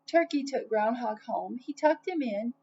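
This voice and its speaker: A woman's voice: on the high side, unhurried, breathy edge. A woman reading a storybook aloud for children, expressively, in the manner of someone addressing young listeners. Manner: expressive, asks listeners questions. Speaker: storybook reader. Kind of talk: children's read-aloud narration with asides. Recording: dull lo-fi sound, faint noise.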